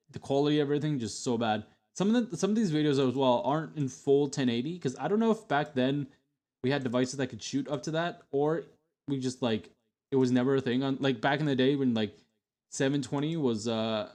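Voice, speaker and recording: A man's voice: mid-range voice, darkish tone. A young adult man streaming, chatting casually with lots of fillers and restarts as he looks back on old videos. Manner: rambling, many fillers and restarts.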